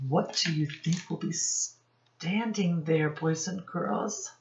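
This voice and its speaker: A woman's voice: lowish voice, slow, gravelly, highs cut off, a little hiss or hum. A woman speaking as a teacher in a children's read-aloud, explaining words and putting questions to her young listeners. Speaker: teacher reading storybook to children.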